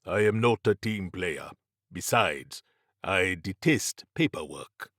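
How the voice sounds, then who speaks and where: A man voicing a wry, erudite gentleman occultist for an audiobook, speaking formally and deliberately with a faintly pompous, understated manner. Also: low-pitched, expressive, no echo, studio quality, exaggerated voice. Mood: dry, wry. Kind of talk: audiobook character acting.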